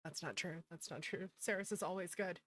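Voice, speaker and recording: A woman's voice: fairly low pitch, brisk, a bit bright. A woman chatting casually on a livestream in a playful way, swearing freely and muttering to herself. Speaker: streamer, VTuber host.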